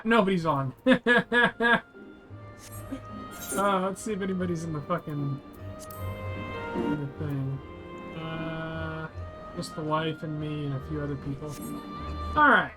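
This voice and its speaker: A man giving casual, offhand let's-play commentary as he describes a game, thinking aloud and swearing freely. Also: a little high. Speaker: game streamer describing play for blind viewers.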